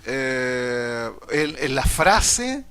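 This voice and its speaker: A man's voice: mid-range voice, big pitch swings, very slow, sharp tone, clear, resonant tone, faint music behind. A man hosting with a Chilean accent, asking chatty, rambling questions in a studio. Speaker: show host. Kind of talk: interview questions, casual. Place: broadcast studio.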